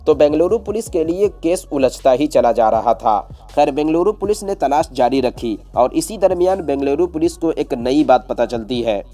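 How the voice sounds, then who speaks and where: Bright-voiced man narrating true crime. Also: medium pitch. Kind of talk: scripted Hindi true-crime storytelling narration.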